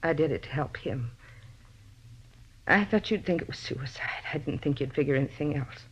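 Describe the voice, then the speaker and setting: A woman's voice: sits low, lively intonation, moderate pace, husky. An actress playing a possessive, middle-aged faded female star in a 1950s radio drama, pleading and justifying herself bitterly. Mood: broken, pleading. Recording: dull vintage radio broadcast recording.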